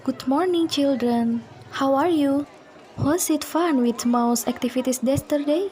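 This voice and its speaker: A woman's voice: high-pitched, a little slow, warm tone. A young woman playing a cheerful, motherly homeroom teacher in a student-made radio drama. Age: young adult. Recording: noisy amateur recording, faint chatter.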